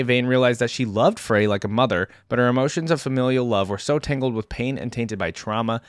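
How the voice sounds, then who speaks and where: A man's voice: mid-range voice, no echo, studio quality. A man hosting a reaction video, reading a story aloud and adding casual, informal comments.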